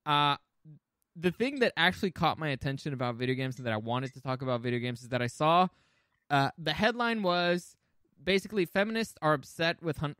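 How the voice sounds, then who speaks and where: A man hosting a podcast in a casual, comedic style, excitable, jokey and free with swearing. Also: fairly high pitch, no room sound, clean studio sound. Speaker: podcast host.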